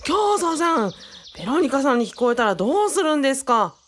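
Woman as an easily impressed, animated male companion. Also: sits high, a little fast, a little hiss or hum. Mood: amazed. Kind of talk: hobby radio drama dialogue.

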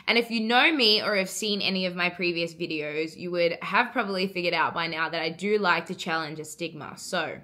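Young aussie woman chatting to her camera in her living room, bright clear voice, bubbly, laughs a lot. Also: on the low side, fairly quick, hardly any pauses. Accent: Australian. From Australia. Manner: bubbly, laughs often, earnest. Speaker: vlogger. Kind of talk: casual talk to camera.

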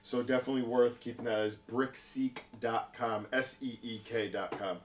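A man talks casually as a co-host, in conversational phrasing. His voice sits at an average pitch, and the room around him adds a clear echo. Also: fairly even intonation, normal speed, a little hiss or hum. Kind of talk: casual chat about deals and collectibles. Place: room with glass display cases, indoors. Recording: echoey room, table setup.

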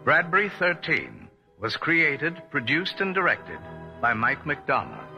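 A man's voice: fairly high pitch, wildly swooping pitch, takes it slowly, gravelly, lo-fi sound. A man working as an announcer, reading scripted radio credits. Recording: radio credits over loud music.

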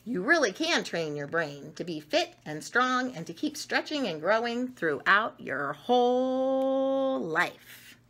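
Middle-aged female storyteller for kids' educational picture book, bright tone, highly varied intonation, emphatic delivery. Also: big pitch swings, slow, few pauses. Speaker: storyteller reading picture books. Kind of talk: read-aloud of a children's educational book. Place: home dining room, indoors.

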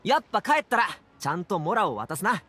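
An actor playing an earnest, brash young man in an anime game, speaking in a casual, rough-edged youthful way. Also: on the high side, sing-song, normal speed, soft background music. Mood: flustered, determined. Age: young adult.

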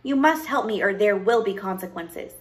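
A woman's voice: mid-range voice, animated, normal speed, natural voice. A woman voicing a proud, spoiled young princess in a storybook, haughty, demanding and indignant. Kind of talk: storybook character voice.

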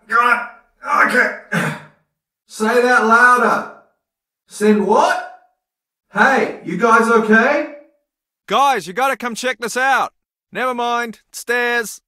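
An actor playing a slow-witted, good-natured ex-rugby player in a video game, earnest, literal and befuddled. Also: male voice, very high-pitched, sharp tone, dry recording, exaggerated voice. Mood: straining, calling out.

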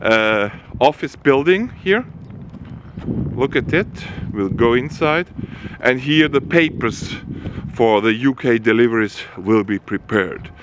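Male nursery owner, halting second-language English, casual tour voice, faint outdoor noise. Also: mid-range voice, lively intonation. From Switzerland. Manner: halting non-native English with frequent uh pauses. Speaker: nursery founder giving a tour. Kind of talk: casual walking tour narration. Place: outdoors at a nursery, cold wind. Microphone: camera mic, walking behind the camera.

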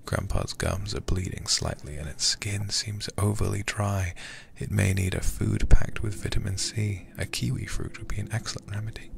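Man, low voice, very heavy vocal fry, half-whispered, ASMR gaming stream, close mic. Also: fairly even intonation, normal speed, a little hiss or hum. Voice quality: soft, breathy, often whispered. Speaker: livestreamer playing a horror game. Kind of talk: ASMR game commentary. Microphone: close microphone.

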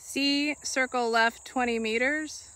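A woman calling out the movements of a dressage test in short, clipped commands with pauses between them. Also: sits high, steady tone, sharp tone, dry recording.

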